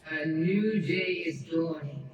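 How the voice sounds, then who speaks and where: A woman's voice: deep voice, a little slow, distant mic, highs cut off. A woman voicing a solemn, commanding female spirit of light with grave, stately speech. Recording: roomy game audio heard from afar with music.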